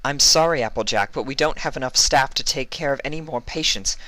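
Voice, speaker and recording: Man as an apologetic, high-voiced pony nurse. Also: fairly quick, bright, forceful tone, dry recording, lightly acted, low-quality recording. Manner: regretful, earnest. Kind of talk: storybook character reading.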